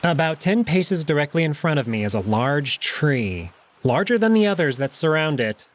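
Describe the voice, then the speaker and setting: A man's voice: fairly high pitch, big pitch swings, normal speed, pitched above the performer's own voice. A man voicing a big, smug male jackal in a visual novel, amused, taunting and fully in control. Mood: calm, commanding.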